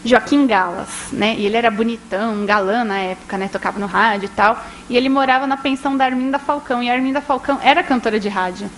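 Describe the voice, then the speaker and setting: A woman's voice: a little high, slight background noise, rough recording. A young woman, telling a story in a casual, chatty talk, often tagging her sentences and acting out quotes. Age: young adult. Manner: chatty, frequent tag questions, quotes people in lively voices. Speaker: guest speaker, journalist and biographer. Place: indoor talk at an archive.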